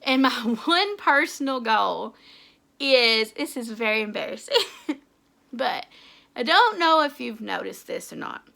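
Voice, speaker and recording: A woman's voice: on the high side, lively intonation, measured pace, creaky, sharp tone. A young woman with an Appalachian English accent, chatting casually to camera about her goals, talkative and laughing often at herself. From Tennessee. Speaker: author and book YouTuber. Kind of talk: casual vlog chat to camera.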